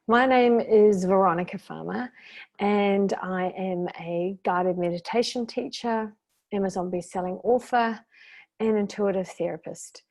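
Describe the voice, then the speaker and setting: A woman leads a guided meditation at a very unhurried pace. Her delivery is gentle and soothing, in short instructional phrases separated by long pauses. Her pitch sits in the middle of the female range. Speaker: guided meditation teacher and intuitive therapist.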